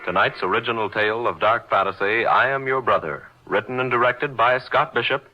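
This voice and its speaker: A man's voice: medium pitch, fairly quick, heavy background noise. A man announcing a radio drama in a formal 1940s broadcast style. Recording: muffled old radio recording.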